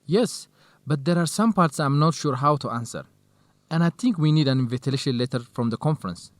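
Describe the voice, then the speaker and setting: A man's voice: on the high side, expressive, fairly quick, no echo, lightly acted. An actor playing an earnest, grateful young Ethiopian male research assistant in an educational radio drama.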